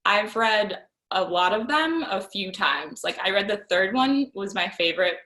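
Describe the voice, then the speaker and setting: A young woman chats casually as she answers questions about her work as a writer. She is informal and a little self-deprecating. The sound has a roomy echo around her mid-pitched voice. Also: expressive, moderate pace, pushed and bright. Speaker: magazine writer and editor answering students' questions.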